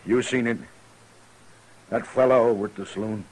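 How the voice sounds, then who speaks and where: An American actor playing a broken, fearful gunfighter in a 1950s radio western, with a halting, pleading frontier drawl. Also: male voice, mid-range voice, fairly even intonation, close to an everyday voice, rough recording. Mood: shaken. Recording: old radio recording with faint noise.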